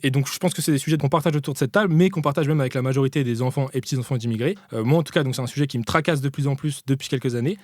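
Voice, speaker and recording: A man speaks at a brisk clip in a dry, close recording. He sounds like a podcast host chatting informally with guests, using plenty of slang as he presents his segment. His pitch sits in an ordinary male range. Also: darkish tone, no echo. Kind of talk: casual panel chat.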